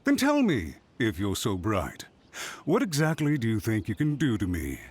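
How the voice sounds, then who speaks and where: Pompous official, swooping intonation. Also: male voice, lowish voice, wildly swooping pitch, moderate pace, slight background noise. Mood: defiant scheming. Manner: pompous, theatrical, emphatic. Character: haughty, scheming male government official, indignantly self-righteous. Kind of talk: video game voice acting.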